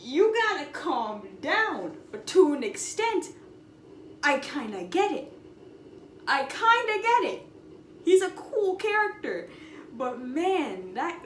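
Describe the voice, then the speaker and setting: A young woman with a high voice tells a casual vlog story in an echoey room with some background noise. Her delivery is expressive and slangy, leaning on dramatic emphasis and repeated phrases. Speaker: storytime video host.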